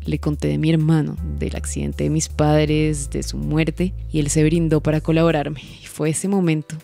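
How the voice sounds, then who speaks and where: A woman's voice: low-pitched, fairly even intonation, moderate pace, vocal fry, dark tone, soft background music. An actress playing a bitter, wronged wealthy Colombian woman who confesses to a priest in a radio drama, brooding and emphatic. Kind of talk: Spanish-language radio drama monologue.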